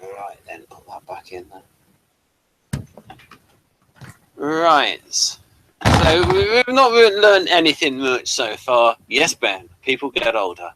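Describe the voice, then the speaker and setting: A British man hosting a casual online review chat in a rambling, halting way. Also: mid-range voice, very animated, background noise. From Britain. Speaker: host of online hangout. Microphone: webcam livestream setup.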